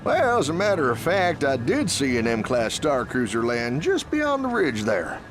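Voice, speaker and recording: A man's voice: medium pitch, huge pitch swings, unhurried, background noise. An actor playing a chatty, friendly frontier trader in a video game, with a rural Southern American drawl and a salesman's folksy manner. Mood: cheerful, folksy. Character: folksy friendly male frontier trader who bargains for trophies. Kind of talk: animated game character acting. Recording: music behind the voice.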